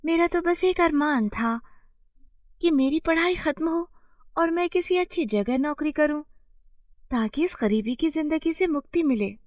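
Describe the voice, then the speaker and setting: A woman's voice: sits high, lively intonation, measured pace, dry recording, studio quality, voice pushed up. A woman voicing a proud, impatient young village woman who longs for riches, with a complaining, self-important manner, in a storybook tale. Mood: discontented. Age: young adult.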